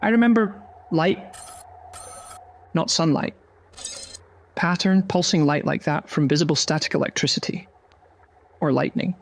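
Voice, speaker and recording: A man voicing a young, earnest magical creature in a fantasy audio drama, halting and full of wonder. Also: fairly high pitch, expressive.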